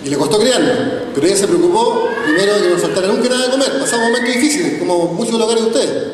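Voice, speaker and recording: A man's voice: high voice, lively intonation, racing, noisy background. A man in his forties, a mayor giving an earnest, rhetorical speech from a podium, often adding tag questions. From Chile. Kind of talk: formal public speech. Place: large hall, on stage at a podium. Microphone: podium microphone, recorded from a far camera. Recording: echoey hall from far off.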